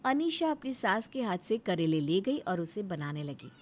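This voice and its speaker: A woman's voice: medium pitch, very animated, normal speed, noisy background. A woman narrating a scripted moral story as storyteller.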